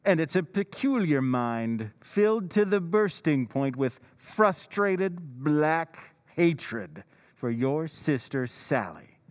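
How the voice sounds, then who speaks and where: The Whistler, taunting narrator. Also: male voice, fairly high pitch, sing-song, takes it slowly. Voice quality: insinuating, taunting. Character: the Whistler, brooding omniscient male narrator. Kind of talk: 1940s radio drama narration.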